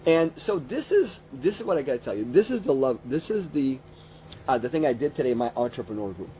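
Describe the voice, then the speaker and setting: A man's voice: medium pitch, sing-song, a little fast, warm tone, slight background noise, rough recording. A man in his fifties giving an informal motivational business coaching talk, rambling conversationally and repeating phrases for emphasis. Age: 50s.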